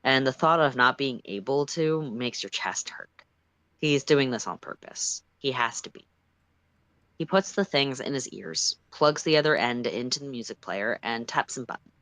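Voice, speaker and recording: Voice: mid-range voice, expressive, moderate pace, a bit bright, no room sound. A storyteller reading fan fiction aloud in the second person, with an emphatic, dramatic and exasperated delivery. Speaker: storytime livestream reader.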